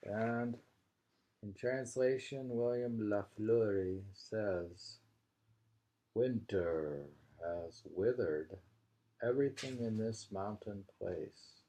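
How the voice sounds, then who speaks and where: A man reading poetry aloud and commenting on it informally, in a halting, musing way as he thinks aloud. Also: fairly low pitch, dark tone.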